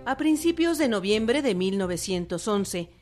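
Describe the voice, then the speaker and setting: A woman's voice: medium pitch, animated, unhurried, a little mellow, faint music behind. A woman narrator giving scripted historical narration for radio.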